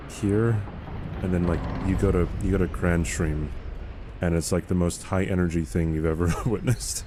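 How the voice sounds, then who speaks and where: Deep, calm-voiced man streaming a game. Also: slight background noise. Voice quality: low calm radio-type voice. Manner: joking, rambling, many fillers. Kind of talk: casual live-stream chatter.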